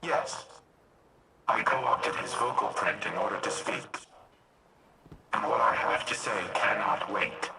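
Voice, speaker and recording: An actor playing a grave artificial mind in a video game, speaking in clipped, solemn, formal phrases through a radio-like transmission. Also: male voice, medium pitch, takes it slowly, vocal fry, long pauses. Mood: grave, urgent. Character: grave male machine mind speaking in borrowed voice. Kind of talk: dramatic game dialogue. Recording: noisy, echoing, distant radio-like transmission.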